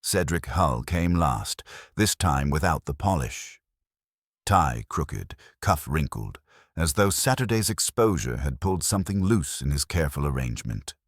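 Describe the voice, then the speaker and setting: A man's voice: rumbling low, expressive, unhurried, breathy edge, dry recording, clean studio sound. A man reading a first-person detective story as a scripted narration. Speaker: audiobook narrator.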